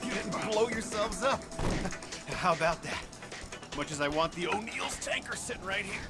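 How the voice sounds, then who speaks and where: A man playing a scrappy, sardonic criminal accomplice in a video game, with a brusque way of talking. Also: sits high. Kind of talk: video game cutscene acting.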